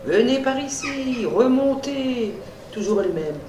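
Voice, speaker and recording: A middle-aged woman from France with a French accent, chatting casually and warmly with travelers she is hosting, often dropping "hein". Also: high voice, lively intonation, takes it slowly. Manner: chatty, warm-hearted, punctuates with "hein". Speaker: school worker hosting travelers. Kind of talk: casual chat. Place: small village school, indoors. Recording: echoey room, distant mic, noisy background.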